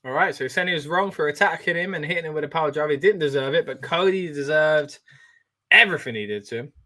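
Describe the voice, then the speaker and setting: A man hosting a live watch-along, chatting excitedly and reacting as he reads out viewer comments. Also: a little high, fast talker. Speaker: wrestling watch-along host.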